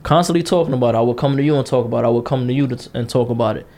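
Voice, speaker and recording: A man's voice: mid-range voice, racing, darkish tone. A man in his late twenties co-hosting a casual podcast, talking bluntly and passionately, repeating himself and cursing freely. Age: 20s. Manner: blunt, repetitive, passionate, curses a lot. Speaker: podcast co-host.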